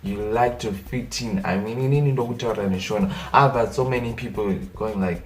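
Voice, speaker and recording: A young Zimbabwean man with a Zimbabwean accent, a native Shona speaker, talking in a casual comedic vlog rant, animated and exasperated, repeating his points. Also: fairly low pitch, fairly quick, distant mic, a little hiss or hum. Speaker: vlogger.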